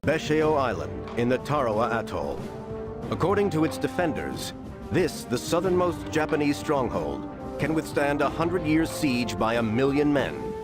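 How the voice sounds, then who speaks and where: An actor playing a confident military briefing narrator, reading scripted narration for a war video game. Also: male voice, medium pitch, sing-song, normal speed, natural voice. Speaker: campaign narrator. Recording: very loud music behind. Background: very loud orchestral music.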